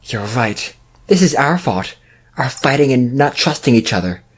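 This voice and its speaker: A woman playing an excitable, loyal young pony in an audio drama, on a noisy recording. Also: very deep voice, moderate pace, husky, full character voice. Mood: earnest.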